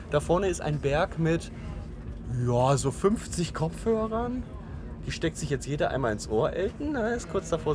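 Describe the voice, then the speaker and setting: A man hosting a casual travel podcast, talking in a joking, teasing, sarcastic way. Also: on the high side. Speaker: podcast host recording travel voice messages. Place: outdoors in a busy city. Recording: noisy outdoor street with traffic.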